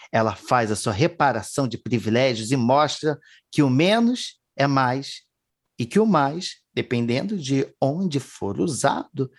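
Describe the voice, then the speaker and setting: A man hosting a talk show, theatrical and joking, often apologizing. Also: mid-range voice. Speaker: host.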